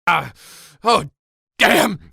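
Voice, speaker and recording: A woman's voice: mid-range voice, animated, very slow, gravelly, pushed and bright, husky, dry recording, studio quality, full character voice. A woman playing an unhinged, hot-tempered mad scientist in a melodramatic, shrieking comedy radio style. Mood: furious, gloating. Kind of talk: over-the-top comedy radio acting.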